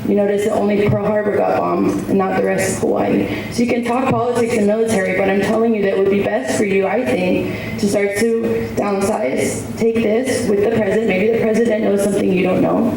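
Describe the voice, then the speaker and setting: A woman giving a rambling, earnest public comment at a hearing in a hotel ballroom, with many asides. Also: mid-range voice. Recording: echoey hall picked up from far off, with background noise.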